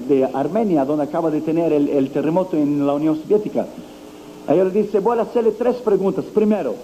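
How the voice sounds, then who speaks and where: Evangelist man, high and mellow, emphatic pulpit delivery, slight tinge, faint tape hiss. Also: rough recording. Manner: emphatic, declaiming preacher. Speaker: evangelist preacher. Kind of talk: sermon. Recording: old recording with faint hiss.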